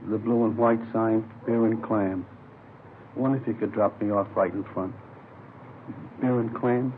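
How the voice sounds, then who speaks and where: Guy playing a folksy american stool pigeon, rambly and informal, lowish voice, old radio crime show with some hiss. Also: steady tone, measured pace, lightly acted. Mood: wistful, wheedling. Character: chatty, easygoing male police informant, wistful small-timer. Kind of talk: 1950s radio crime drama acting. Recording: old radio, phone-like band, faint noise.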